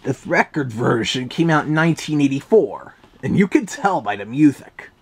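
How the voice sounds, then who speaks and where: A man's voice: medium pitch, expressive, moderate pace, husky. A man voicing a sarcastic male cartoon cat who reviews a children's read-along, talking with a slurred lisp and dry asides. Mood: wry. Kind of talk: fan review commentary in character. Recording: faint music underneath.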